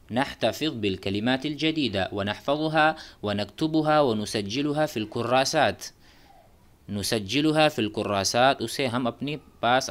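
Male language teacher, unhurried and patient, mid-pitched voice, repeats phrases. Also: a bit bright. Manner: repeats Arabic phrases, then explains them in Urdu. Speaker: Arabic teacher. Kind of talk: slow explanatory lesson. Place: voice-over on a screen-recorded whiteboard.

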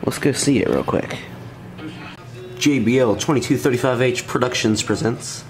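A man's voice: mid-range voice, moderate pace, faint music behind. A man giving a casual, offhand demonstration as a hobbyist, speaking informally into a handheld camera in a room at home. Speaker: audio hobbyist presenter. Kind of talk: casual handheld demo commentary. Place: room at home with wooden floor.

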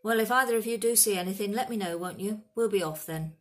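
Storybook gamekeeper man played by a woman: gruff, protective, rustic and colloquial, voice often raised. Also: medium pitch, expressive, moderate pace, natural voice. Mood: irritable. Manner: rustic dialect, blunt, colloquial. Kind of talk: storybook character voice.

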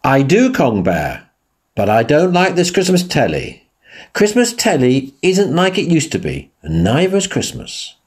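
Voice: medium pitch, huge pitch swings, a little slow, slightly rough, heavily acted. A performer voicing a grumpy, grumbling male grizzly bear in a radio pantomime. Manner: grumbling, sarcastic.

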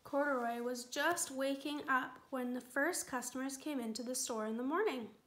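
Woman, children's book reading, high voice, bright, gentle. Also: normal speed. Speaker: storytime reader. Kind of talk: picture-book read-aloud.

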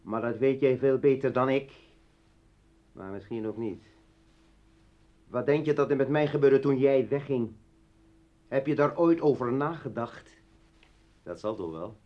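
A man's voice: medium pitch, unhurried, pauses often, lo-fi sound, natural voice. An actor plays a man full of bitter menace in a radio drama. He seethes and broods, turning mocking as he confronts his former partner. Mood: brooding. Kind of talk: radio play acting.

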